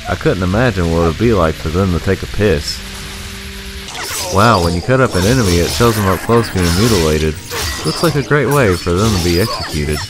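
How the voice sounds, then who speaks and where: A man's voice: deep voice, expressive, brisk, music in the background, low-quality recording. A man reading a scripted, rhyming video game review in a sarcastic, sing-song manner.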